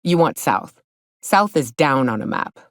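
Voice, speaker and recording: An actress playing a gruff, sardonic, hard-drinking frontier guide and monster hunter in a video game, with a folksy drawl. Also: female voice, a bass voice, expressive, a little slow, no echo, clean studio sound. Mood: wry guiding. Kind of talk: video game character dialogue.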